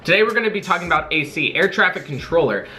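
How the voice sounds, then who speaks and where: A man's voice: sits high, lively intonation, fast, pushed and bright. A young American man presenting an informational vlog casually, switching between reading aloud and informal, jokey asides. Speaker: video creator, Navy corpsman.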